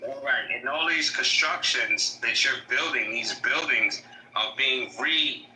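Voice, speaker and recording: A man's voice: medium pitch, unhurried, pushed and bright, echoey room, low-quality recording. A man arguing heatedly on a live audio call, repeating his points. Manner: combative, repeats himself. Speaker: caller. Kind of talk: heated argument.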